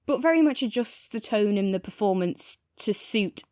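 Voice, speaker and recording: A woman's voice: mid-range voice, lively intonation, normal speed, a little mellow, no echo, clean studio sound. A woman co-hosting a podcast, chatting casually and enthusiastically as she gives a review. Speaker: podcast co-host reviewing audio drama.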